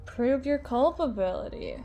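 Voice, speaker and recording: Woman acting tipsy haughty boss lady, slow and theatrical. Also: high-pitched, huge pitch swings, mellow, a little hiss or hum, heavily acted. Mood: challenging, flirty. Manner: theatrical, drawn-out, playful wordplay, slipping into breathy whispers. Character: tipsy, haughty, melodramatic female boss flirting with her employee. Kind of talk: ASMR roleplay voice acting.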